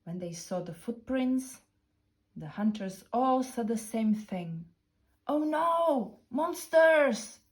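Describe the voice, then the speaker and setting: A woman narrating a children's picture book in a deliberate, expressive storytelling manner. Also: mid-range voice. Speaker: storybook reader.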